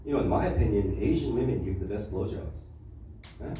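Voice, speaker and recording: Casting: middle-aged American male open-mic comic, lowish voice, casual patter, distant noisy club recording. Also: animated, a little fast, mellow. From Los Angeles, USA. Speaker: stand-up comedian. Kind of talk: stand-up comedy set. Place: small comedy club stage, indoors. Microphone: handheld mic, heard through distant camera. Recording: echoey, noisy club room from far off.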